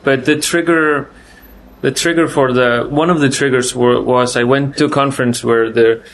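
A man from Iceland with an Icelandic accent, a film director giving festival interview answers in a halting way, searching for words and often restarting. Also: mid-range voice, steady tone, fairly bright tone, slight background noise, low-quality recording.

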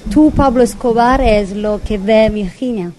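A woman's voice: on the high side, a little slow, warm tone. Woman interviewer over audible noise. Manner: brief, direct questions. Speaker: radio interviewer. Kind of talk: festival press interview.

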